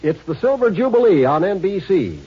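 A man's voice: high-pitched, very animated, moderate pace, husky, faint music behind. A man reading an upbeat network promo. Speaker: network announcer. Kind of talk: radio promo. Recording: dull old radio recording.

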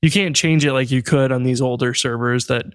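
A man hosting a casual podcast chat, relaxed and chatty, laughing often and filling his talk with "like". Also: mid-range voice, a little fast, clear, resonant tone, no room sound, clean studio sound. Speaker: podcast host.

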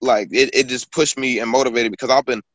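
A man's voice: mid-range voice, steady tone, fast, a bit bright, no echo, lo-fi sound. A man in his twenties, a guest author and poet, talking casually in a rambling storytelling way, full of fillers and restarts. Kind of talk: casual conversation.

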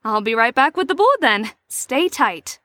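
High-voiced, poised female mentor. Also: very animated, pushed and bright, no echo, exaggerated voice. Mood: composed, teasing. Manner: formal, theatrical, measured. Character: poised, probing female mentor and chess player. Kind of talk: scripted audio drama acting.

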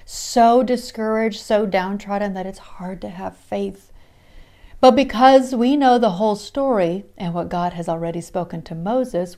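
A woman's voice: medium pitch, darkish tone. A woman with a Midwestern American accent hosting a daily Bible reading podcast, talking in an earnest, encouraging way and reading scripture passages aloud. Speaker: podcast host. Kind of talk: devotional Bible study talk.

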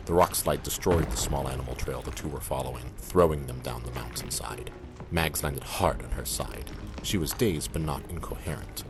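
An actor as a grave, measured male storyteller, narrating a scripted audio drama. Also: a bass voice. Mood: grave, descriptive.

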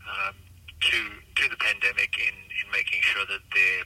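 A man with an American accent giving halting, measured interview answers as a city official. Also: sits low, monotone, background noise. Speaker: city manager being interviewed.

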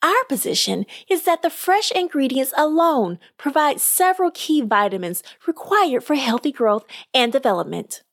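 A small, confident teenage girl argues her point, as a woman reading a story puts her on. Her delivery is pointed and fairly high. The sound is dry and close. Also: very animated, moderate pace, hardly any pauses, no room sound. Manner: pointed, argumentative.

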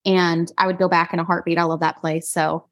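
A woman's voice: lowish voice, little pitch movement, fairly quick. Chatty forty-something woman guest. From Idaho, US. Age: 40s. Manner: chatty and warm, laughs often, restarts sentences. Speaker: guest, singer-songwriter. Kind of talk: casual interview chat.

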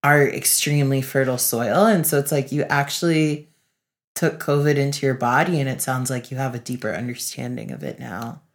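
A woman with a notably low voice chats as a podcast co-host. Her delivery is casual and conversational, with an earnest, introspective way of talking. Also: fairly quick. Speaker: podcast co-host, facilitator and speaker. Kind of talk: casual reflective podcast chat.

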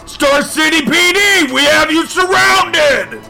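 A man playing an urgent police officer barking loud commands in a superhero audio drama. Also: very high voice, slow pace, vocal fry, music in the background, full character voice, low-quality recording. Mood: urgent, commanding.

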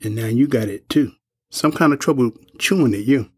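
A man's voice: medium pitch, normal speed, husky, lightly acted. An actor playing a world-weary old working-class man in an audio drama, speaking in a folksy, plain-spoken, ominous way. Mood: knowing, wistful.

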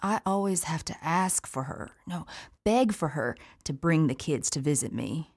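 A woman's voice: low-pitched, lively intonation, moderate pace, darkish tone, husky, full character voice. An actress playing an imprisoned mother who worries about her children, weary and resentful in an audio play monologue. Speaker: incarcerated mother.

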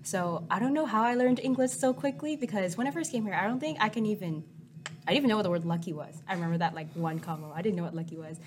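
A woman's voice: mid-range voice, fast talker. A young woman from Vietnam whose first language is Vietnamese, chatting casually with many filler words as a podcast guest. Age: teens. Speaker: guest student. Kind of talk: casual conversation.

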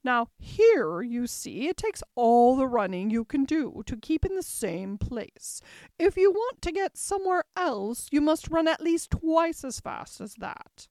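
An imperious queen from a storybook, acted out by a woman, brisk and bossy. The voice rings out high and swoops widely, with emphatic, commanding delivery in a dry, close sound. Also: sing-song, a little mellow, no room sound, exaggerated voice. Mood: brisk, scornful. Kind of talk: storybook character voice.

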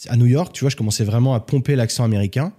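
A man from France, a podcast guest, telling stories in a relaxed, casual chat. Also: medium pitch, very fast, husky. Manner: relaxed storytelling, animated when joking.

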